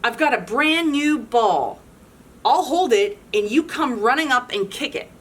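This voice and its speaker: A woman's voice: fairly high pitch, expressive, a little slow, a bit bright, husky, voice pushed up, exaggerated voice. Woman putting on a bossy sing-song little girl. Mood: coaxing. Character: bossy scheming young girl.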